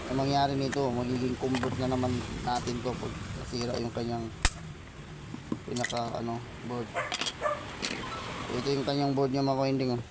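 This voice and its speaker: Loud traffic-like ambient noise surrounds a man narrating a hands-on repair. His delivery is flat and halting, with frequent repetition. He speaks casually to his viewers at a middle pitch. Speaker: electronics repair vlogger.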